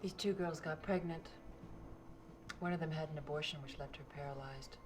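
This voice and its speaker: An actress playing a probing, skeptical female investigator, serious and measured, in a live-action video game. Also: sits low, noisy background, natural voice.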